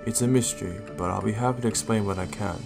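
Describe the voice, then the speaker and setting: A man playing a calm, responsible Raichu guardian in an audio drama, speaking in a measured, explanatory way. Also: on the low side, vocal fry, over music. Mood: earnest, explaining. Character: calm, helpful adult male Raichu guardian, steady and responsible.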